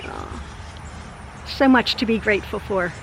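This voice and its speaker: An older woman recording a casual vlog in her backyard, speaking with a hoarse, tired voice as she rambles with many fillers and laughs. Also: huge pitch swings, measured pace, a little hiss or hum, poor audio. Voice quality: high voice. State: hoarse, tired after illness. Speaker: channel host. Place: outdoors in a backyard. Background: birdsong.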